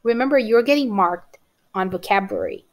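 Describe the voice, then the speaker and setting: A woman's voice: medium pitch, wildly swooping pitch, fairly quick. A woman with a Romanian accent teaching an exam-prep lesson to camera, encouraging and often checking in with "okay?". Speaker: English teacher.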